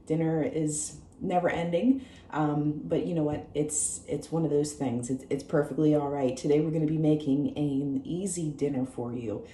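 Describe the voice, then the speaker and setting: A low-pitched, hoarse woman talks viewers through a recipe in a friendly, chatty way. Her voice sounds mellow and worn. The echoey kitchen carries a faint hiss behind her. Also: steady tone, normal speed. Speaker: cooking show host. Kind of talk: casual cooking demonstration. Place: home kitchen.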